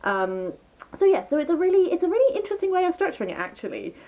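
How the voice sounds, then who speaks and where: A woman's voice: very high voice, sing-song, quick pace, narrow, tinny audio. A woman chatting casually through a book review as a vlogger, rambling and enthusiastic, often interrupting herself.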